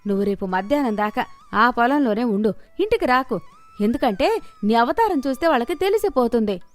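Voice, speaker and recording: A woman's voice: fairly high pitch, expressive, brisk, lightly acted. A woman voicing a pretentious, scheming middle-aged village wife in a cartoon moral story, haughty and fussy. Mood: scolding. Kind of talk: animated moral story dialogue.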